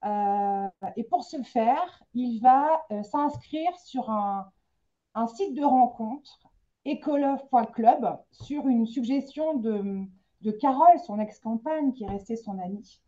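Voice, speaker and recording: A woman's voice: on the high side, takes it slowly, mellow, lo-fi sound. A woman interviewing an author, introducing the guest with frequent hesitations. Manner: hesitant, many fillers. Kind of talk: literary interview, reading an introduction.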